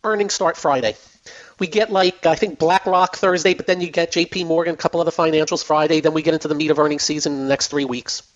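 A man hosts a finance call-in radio show, his voice going hoarse as he talks. He chats in a loose, repetitive way with plenty of fillers, and sits fairly high in pitch. The broadcast sounds dull and lo-fi. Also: fairly even intonation, fast talker. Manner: chatty, repeats himself, many fillers. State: losing his voice. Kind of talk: casual radio market talk.

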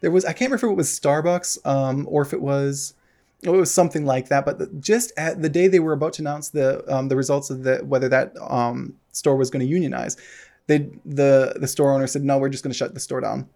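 A man's voice: mid-range voice, steady tone, a little fast, vocal fry, a little mellow. An American man co-hosting a casual podcast, rambling with lots of fillers and restarts. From United States. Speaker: podcast co-host.

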